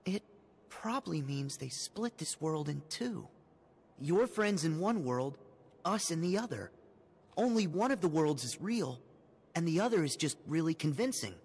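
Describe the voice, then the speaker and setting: A sincere teenage boy hero in an animated game. He sounds eager and lively, a little husky, with a long reverb on his lines. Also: a little high, a little slow, heavily acted. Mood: explaining. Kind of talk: animated video game dialogue.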